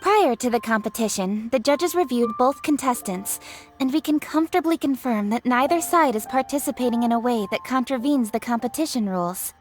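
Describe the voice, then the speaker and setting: An actress playing a calm, courteous female contest judge explaining the rules in a game cutscene. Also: a little high, fairly quick, faint music behind, close to an everyday voice. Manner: polite, explanatory, even.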